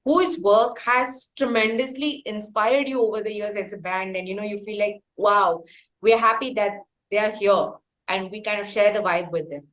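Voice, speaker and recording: A woman's voice: medium pitch, fairly even intonation, normal speed, fairly bright tone, poor audio. A woman interviewer with an Indian accent and a mid-range voice. She talks conversationally, in long, effusive sentences, as the host of a music interview. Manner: effusive, wordy.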